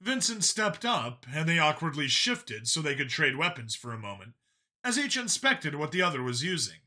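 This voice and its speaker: Male audiobook narrator, scripted storytelling, sharp bright tone, animated intonation. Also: a little high, normal speed.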